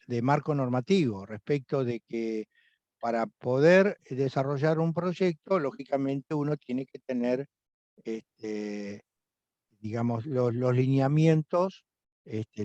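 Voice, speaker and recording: Hesitant Argentine instructor, dull online audio. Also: male voice, mid-range voice, mellow, no room sound. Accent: Argentine Spanish. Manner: hesitant, many fillers, recommending and inviting students. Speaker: course instructor. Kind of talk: online class lecture. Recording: dry, dull video-call audio.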